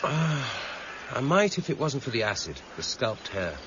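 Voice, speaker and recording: An actor playing a weary, measured senior police detective with quiet authority and an English accent, in a radio drama. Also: male voice, mid-range voice, sing-song, lightly acted. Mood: weary, reflective. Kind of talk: 1990s British radio drama acting. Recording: muffled, noisy old radio broadcast recording.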